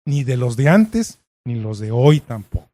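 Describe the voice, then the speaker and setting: A man hosts the interview, setting up questions in a relaxed conversational way. His voice is mellow and dark. The recording is dry and close. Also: medium pitch, unhurried, dry recording. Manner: conversational, frames questions with remarks. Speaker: interviewer host.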